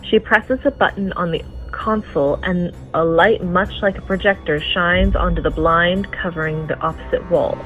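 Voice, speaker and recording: Low-pitched woman narrating over music. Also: steady tone, moderate pace, few pauses, phone-quality sound. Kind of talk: scripted story narration.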